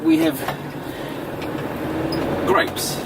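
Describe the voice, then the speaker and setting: Kiwi guy doing a travel vlog, middle aged, kinda wry, really noisy car and street sound. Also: very slow. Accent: New Zealand. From New Zealand. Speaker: travel vlogger. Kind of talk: casual travel narration. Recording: loud vehicle and outdoor noise.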